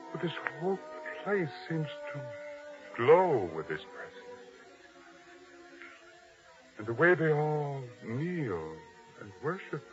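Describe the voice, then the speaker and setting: A man playing a hard, ambitious, commanding soldier in a 1950s radio drama, curt and sardonic. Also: fairly high pitch. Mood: hushed awe. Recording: dull, noisy vintage radio drama recording.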